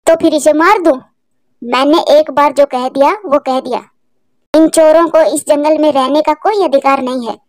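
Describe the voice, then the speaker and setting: A woman's voice: high voice, steady tone, normal speed, a little mellow, no room sound, clean studio sound, close to an everyday voice. A woman voicing a stern, unforgiving female eagle who commands and threatens in a cartoon. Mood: threatening.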